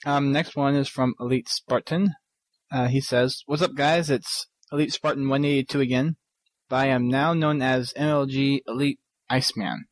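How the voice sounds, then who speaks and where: A man co-hosting a podcast, reading listener emails aloud haltingly, with frequent ums and restarts. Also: mid-range voice, fairly even intonation, dry recording. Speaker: podcast co-host.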